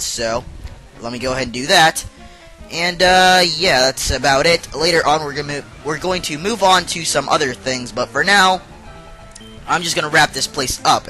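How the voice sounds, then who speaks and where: A young man with a bright, forceful tone and a slightly high voice talks through a game he is playing. He is sick and has partly lost his voice, and he rambles along with plenty of ums. Game music plays faintly underneath. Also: low-quality recording. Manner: chatty, rambling with many fillers. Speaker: let's play host. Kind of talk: casual game commentary.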